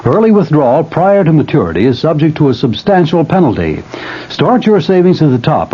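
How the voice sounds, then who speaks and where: A man with a husky, mid-pitched voice reads a radio commercial as announcer. His delivery is highly inflected, on a slightly muffled vintage recording. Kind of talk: scripted radio advertisement.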